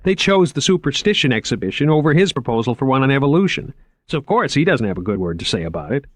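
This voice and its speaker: An eager young museum curator with a raised, lively voice, as a male narrator puts him on. He gushes about his work and stammers when caught off guard. The sound is dry and close. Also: medium pitch, fast talker, dry recording, voice pushed up. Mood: opinionated.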